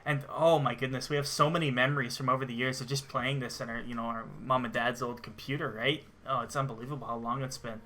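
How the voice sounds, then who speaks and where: Male co-host, 23, mid-pitched and bright, informal and keen, talking through a video game. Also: steady tone, fast, hardly any pauses, a little hiss or hum. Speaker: gaming show co-host. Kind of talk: casual gameplay chat.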